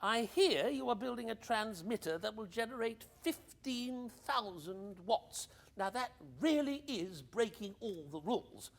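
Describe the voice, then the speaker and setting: A man's voice: high voice, animated, a little slow, gravelly, few pauses, heavily acted. An actor playing a breezy, cheeky upper-class English ex-army captain in a radio play, with hearty old-boy banter. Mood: breezy, persuasive.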